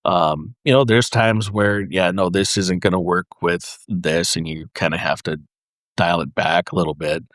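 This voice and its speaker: A man speaking as a podcast guest, explaining his craft casually in halting, piecemeal phrases with frequent pauses. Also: low-pitched, steady tone, fairly bright tone, dry recording, studio quality. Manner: halting, pausing, piecemeal delivery. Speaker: podcast guest, custom paint artist.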